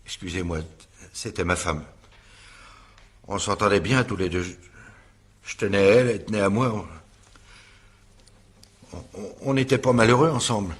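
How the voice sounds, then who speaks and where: A man's voice: on the low side, normal speed, long pauses, breathy edge. A male actor playing a nervous, evasive widower in a radio drama, hesitant, stammering and defensive as he is questioned. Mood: grieving. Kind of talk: French radio drama acting, naturalistic.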